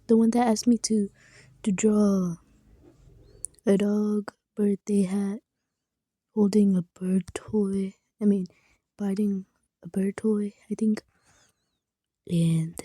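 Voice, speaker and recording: A woman's voice: mid-range voice, dry recording, clean studio sound. A young woman chatting casually while she draws, often half-whispering and putting herself down. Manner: soft, often whispering, self-deprecating. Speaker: drawing video creator. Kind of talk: casual chat while drawing.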